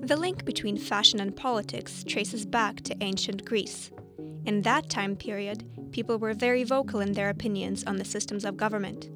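Woman narrator with a careful, measured read. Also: medium pitch. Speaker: news feature narrator. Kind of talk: scripted narration. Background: background music.

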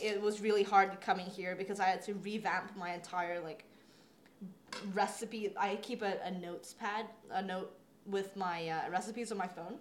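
A woman's voice: mid-range voice, slight background noise. Chatty young woman from Singapore. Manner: chatty, lots of "like" and "um". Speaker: student journalist. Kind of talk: casual interview talk.

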